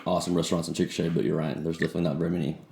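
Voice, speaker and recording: A man's voice: low-pitched, level tone, very fast, vocal fry, warm tone. A man hosting a podcast, chatting in an easygoing, informal interview style. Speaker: podcast host. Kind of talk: casual interview chat. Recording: echoey room with faint noise.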